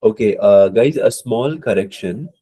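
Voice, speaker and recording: A man's voice: on the low side, a little slow, mellow, slightly rough. Man with a cold teaching online. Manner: hesitant, many fillers, repeated apologies. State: hoarse from a cold. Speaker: IELTS instructor. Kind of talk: live online class teaching.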